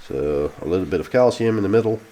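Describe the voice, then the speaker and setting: A Dutch-accented man, speaking English as a second language, walks through his growing routine in a casual vlog. His voice sits very low, and he talks in a frank, explaining way, stretching out the words he wants to stress. A faint background hum runs underneath. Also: expressive, fast talker, warm tone. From Netherlands. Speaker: pepper grower and fertilizer maker.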